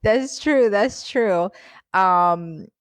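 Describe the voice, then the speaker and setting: A woman from Guyana, a physician guest, telling her story in a casual interview, animated and laughing often. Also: mid-range voice, extremely slow, no room sound. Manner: animated, laughs often, repeats words for emphasis.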